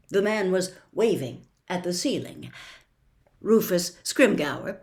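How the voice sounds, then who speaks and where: Expressive woman storyteller with swooping intonation. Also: mid-range voice. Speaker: storyteller reading a novel aloud. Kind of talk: expressive audiobook-style storytelling.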